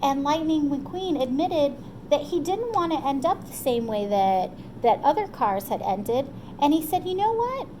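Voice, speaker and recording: Lady doing storytime for kids, high voice, encouraging, kinda noisy background hiss. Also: expressive, measured pace, few pauses, a little mellow. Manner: encouraging, checks in with listeners, acts out quotes. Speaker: storyteller reading to children. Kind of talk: animated children's storytime retelling, loosely paraphrased.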